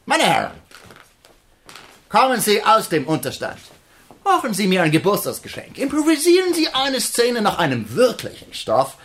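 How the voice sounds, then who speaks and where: An actor in a German radio drama playing a showy, sardonic, German-born American film officer, grand and commanding. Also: male voice, high voice, huge pitch swings, normal speed, a bit bright, exaggerated voice. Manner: grandly presenting, commanding, mocking.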